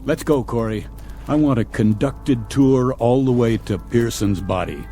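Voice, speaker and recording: Stern captain guy played by a male actor, medium pitch, bossy and sarcastic, old tv drama. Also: measured pace, dark tone, close to an everyday voice. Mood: angry, accusing. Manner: interrogating, sarcastic, commanding. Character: stern, accusing male spaceship captain, fiercely protective of crew. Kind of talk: mid-century television drama acting. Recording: music under dialogue.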